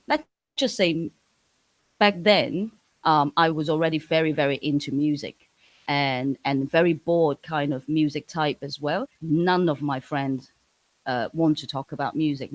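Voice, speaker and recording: A woman from Hong Kong, a native Cantonese speaker with a Hong Kong English accent, talking casually as an interview guest, pausing and restarting often. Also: sits low, no room sound. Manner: hesitant, many restarts and fillers.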